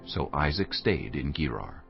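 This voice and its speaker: A man's voice: rumbling low, big pitch swings, unhurried, vocal fry. A man narrating a dramatized scripture reading in a solemn storytelling style. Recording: dull recording over soft music.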